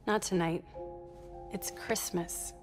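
A woman's voice: fairly low pitch, fairly even intonation, slow, faint music behind. A woman playing a teasing, perceptive teenage girl in a video game, with a wry, casual manner. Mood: gentle teasing. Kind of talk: naturalistic video game acting.

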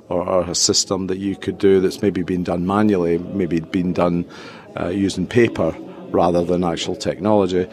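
A middle-aged man with a Scottish accent, explaining things conversationally as a guest in a casual podcast interview. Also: deep voice. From Scotland. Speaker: interview guest, tech company chief executive.

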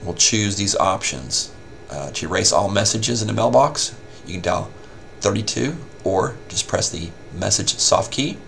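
Low, gravelly man giving tutorial. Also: lively intonation, measured pace, a bit bright, slight background noise. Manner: informal step-by-step explaining. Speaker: help desk instructor. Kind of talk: casual screen-recorded software tutorial.

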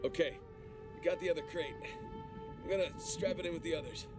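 A man's voice: fairly high pitch, steady tone, fairly quick, music in the background, lightly acted. A man playing an easygoing, wisecracking salvage diver in a naturalistic video game performance, casual and wry. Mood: upbeat, joking. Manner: casual, wry, muttering to himself.

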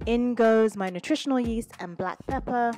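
A woman hosting a cooking video, calmly talking through each step in a voice-over. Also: on the high side, moderate pace. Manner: relaxed step-by-step instructions. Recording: voice-over with music underneath. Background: background music.

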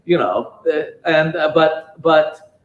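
A man giving a public talk at a bookstore event, speaking haltingly with frequent restarts and "you know"s. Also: fairly high pitch, narrow, tinny audio. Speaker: guest speaker. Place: bookstore event space with audience. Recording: echoey room.

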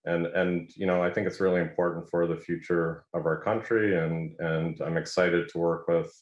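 A middle-aged man talks from his home office over a video call. His voice sits low and barely rises or falls. The sound is roomy and a little muffled. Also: little pitch movement, moderate pace. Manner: earnest, filler-heavy, repeats words. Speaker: panelist. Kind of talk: video-call panel discussion.

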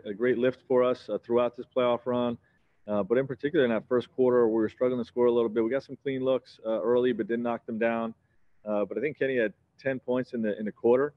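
A man in his forties, a head coach giving measured postgame answers at a press conference, full of "you know" and "um" fillers. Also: medium pitch, no echo, dull audio. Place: press conference backdrop, indoors.

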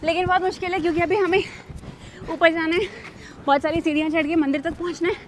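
Young female travel vlogger, playful and chatty, high voice, recorded outdoors with background noise. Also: quick pace. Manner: chatty, playful, lively explaining. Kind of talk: casual travel vlog chat. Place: outdoors at a tea estate.

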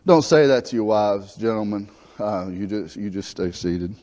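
A man, preaching a lively sermon in a church sanctuary on a lapel mic, acting out scenes as he moves about. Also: on the low side, moderate pace. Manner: animated preaching, acts out biblical dialogue, moves about the stage. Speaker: pastor. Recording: reverberant church hall.

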